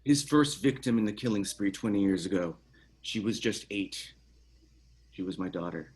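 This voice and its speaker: A man playing a grave, haunted police detective in a screenplay table read, serious and measured. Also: on the low side, expressive, darkish tone, lightly acted. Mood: grieving, subdued.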